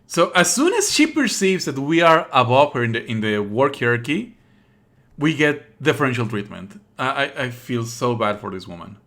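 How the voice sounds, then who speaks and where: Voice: mid-range voice, very animated, normal speed, fairly bright tone. A game streamer giving live commentary, blunt, opinionated and often exasperated.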